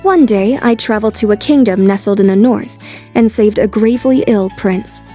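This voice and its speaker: A woman voicing a composed young noblewoman who recounts her past lives in an anime fan dub, with measured storytelling. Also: mid-range voice, dark tone, soft background music, natural voice. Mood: reflective.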